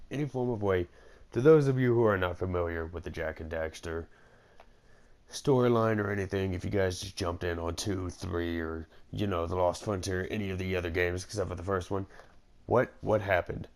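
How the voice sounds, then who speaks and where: Male gaming commentator: very low, gravelly voice, informal rambling delivery, game music faintly behind. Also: moderate pace, a little mellow. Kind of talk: casual gameplay commentary.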